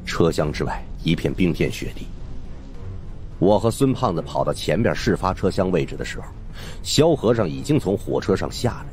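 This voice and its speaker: Very deep, animated male audiobook narrator. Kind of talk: dramatic scripted first-person storytelling. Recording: faint music behind the voice.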